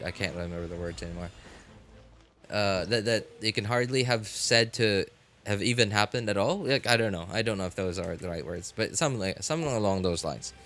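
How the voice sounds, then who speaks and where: A man livestreaming a game, rambling casually and thoughtfully, often halting and repeating his phrases as he works out a thought. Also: on the low side. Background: background music.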